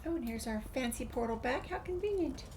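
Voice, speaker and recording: A woman with a creaky voice, reading a game's documents and dialogue aloud as a gameplay streamer. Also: sits high, animated, fairly quick, a little mellow. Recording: faint background noise, game ambience.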